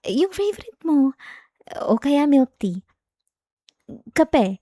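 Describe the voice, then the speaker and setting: A woman's voice: sits high, very animated, slow pace, mellow, no echo, full character voice. A woman playing a clingy, jealous young girlfriend in an intimate ASMR roleplay, coaxing and whining close to the mic. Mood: coaxing, pleading. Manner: coaxing, whiny, with sighs and hesitations. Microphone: close, dry microphone.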